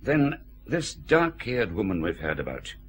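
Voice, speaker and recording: An actor playing a methodical senior police superintendent, measured and authoritative, in a radio drama. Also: male voice, fairly low pitch, very animated, faint music behind. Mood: authoritative, businesslike.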